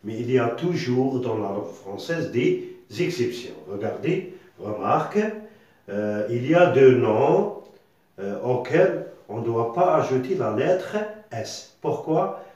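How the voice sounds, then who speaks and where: A man whose first language is Arabic, teaching a slow, deliberate grammar lesson, repeating words and checking that the class follows. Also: mid-range voice, expressive, a little mellow, muffled recording. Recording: echoey room, far from mic.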